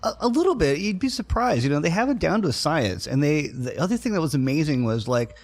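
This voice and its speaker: A man's voice: a little high, big pitch swings, quick pace. A middle-aged man from Florida, a guest musician on an interview podcast, telling stories in a laid-back way, often dropping "man" and "like". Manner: laid-back and storytelling, says "man" and "like" a lot. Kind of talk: casual interview chat.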